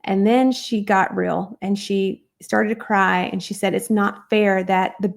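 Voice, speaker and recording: A woman guest on a podcast, telling her life story in an earnest, animated way. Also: mid-range voice, measured pace, vocal fry.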